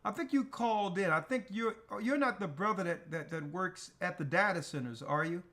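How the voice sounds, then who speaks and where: A man hosting a church livestream as an elder, taking callers and giving brisk directions. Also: sits high, fairly bright tone. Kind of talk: livestream call-in talk.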